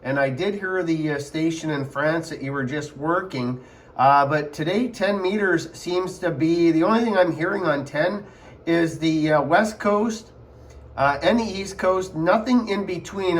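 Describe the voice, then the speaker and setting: A man with a voice a little higher than average, talking as a ham radio operator. He chats casually over a contact, circling back on his phrases and using phonetic call signs.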